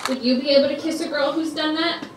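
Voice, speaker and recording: Tormented woman, played by an actress, high voice, raw theatrical confession, roomy far-off stage sound. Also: steady tone, quick pace, natural voice. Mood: haunted confession. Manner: blunt, profane, intense. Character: tormented, guilt-ridden woman confessing a dark past. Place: competition stage, indoors. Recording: echoey stage, distant mic, faint noise.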